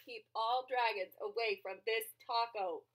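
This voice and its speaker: A young adult woman reading a picture book aloud to children in an expressive, dramatic and playful way. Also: fairly high pitch, unhurried, a little hiss or hum, rough recording. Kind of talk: animated children's read-aloud. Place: small furnished room at home.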